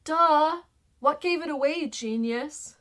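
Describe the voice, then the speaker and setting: A woman's voice: high voice, slow pace, a bit bright. A woman playing a sarcastic, irritable young female dragon warrior in a fan fiction audio drama, snide and impatient. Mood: sarcastic scorn.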